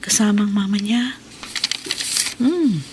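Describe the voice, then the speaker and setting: A troubled, curious Filipina housewife played by a radio actress, slow in her delivery and medium in pitch. She sighs and thinks aloud with dramatic feeling. The radio drama track has clear noise behind it. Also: close to an everyday voice. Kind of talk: Filipino radio drama acting, musing monologue.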